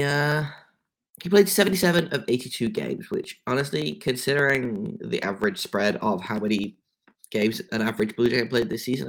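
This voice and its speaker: A man's voice: medium pitch, vocal fry. An English man hosting a sports podcast, talking casually with many fillers and restarts. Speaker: podcast host.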